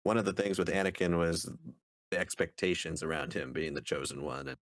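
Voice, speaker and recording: A man with a remarkably deep voice talks as a podcast co-host. The sound is dry, with almost no room on it. His delivery is casual, like someone discussing a book with friends. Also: little pitch movement, a little fast, no room sound.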